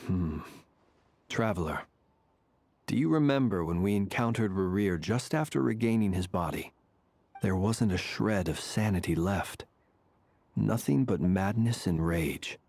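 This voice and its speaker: An actor playing a serious, composed young warrior in an anime game, measured and analytical. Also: male voice, sits low, animated, gravelly, a little mellow, heavily acted. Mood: grave, concerned.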